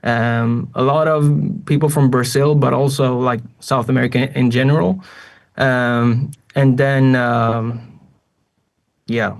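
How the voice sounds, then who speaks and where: A man from Sweden with a Swedish accent, a musician answering interview questions in a relaxed way with many fillers. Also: mid-range voice, slow. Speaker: musician guest.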